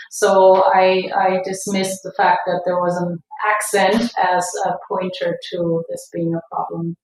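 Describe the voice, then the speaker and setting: A woman's voice: lowish voice, a little mellow. A middle-aged woman from Germany with a German accent, recounting her experience conversationally as an interview guest. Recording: echoey room, distant from the mic.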